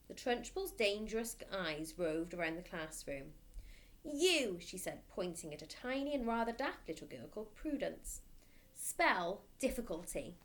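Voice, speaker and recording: A woman teacher reading a children's storybook aloud with expressive narration. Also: mid-range voice.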